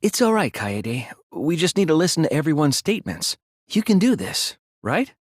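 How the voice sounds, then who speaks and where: Husky, earnest teen boy detective. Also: a little high, sing-song, moderate pace, dry recording, studio quality. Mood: gentle encouragement. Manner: earnest, careful explaining, hesitant. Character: earnest, soft-spoken, hesitant teenage boy detective. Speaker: video game voice actor. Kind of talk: dubbed detective game dialogue.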